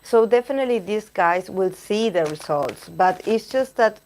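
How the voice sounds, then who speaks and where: A woman reading tarot cards on a live stream, chatting with viewers and pausing to search for words, her voice going hoarse. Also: mid-range voice, lively intonation, darkish tone, rough recording. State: losing her voice. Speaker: tarot reader.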